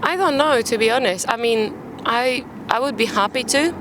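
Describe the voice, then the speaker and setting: A woman's voice: on the high side, animated, moderate pace. A young Spanish woman speaks English with a British accent, in a casual interview style. She is recorded outdoors in a park, with faint city noise behind her. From Málaga, Spain. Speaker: podcast guest. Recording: outdoor urban background noise. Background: faint park and traffic ambience.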